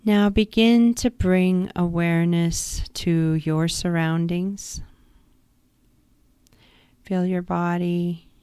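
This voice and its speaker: A woman guiding a yoga nidra relaxation practice in a calm, soothing, instructive manner. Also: on the low side, slow, pauses often, smooth, dry recording. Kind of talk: guided meditation.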